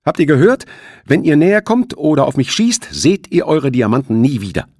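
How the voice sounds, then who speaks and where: A defiant teenage boy in a thriller audiobook, voiced by a man. The voice is raised well up and swings widely in pitch, urgent and commanding, with a nervy edge. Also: big pitch swings, moderate pace, darkish tone, dry recording, clean studio sound. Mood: threatening.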